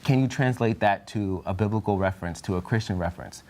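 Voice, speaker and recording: A man's voice: lowish voice, fast, warm tone. A young man in a Bible study group, talking in an earnest, reflective way and sometimes searching for words. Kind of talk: discussion group chat.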